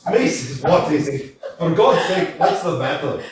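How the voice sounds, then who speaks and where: A man's voice: a little high, expressive, measured pace, distant mic, a little hiss or hum. An actor playing a blunt, teasing older male servant in a staged reading, chatty and down-to-earth. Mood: concerned. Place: small community theater stage. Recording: echoey stage picked up from afar.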